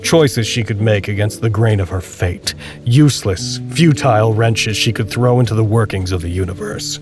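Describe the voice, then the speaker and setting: A man narrating a literary drama podcast as a solemn, reflective storyteller, speaking in a deliberate way. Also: fairly low pitch, natural voice. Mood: grave, resolute. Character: solemn, reflective male storyteller of a bleak tale. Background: music with guitar.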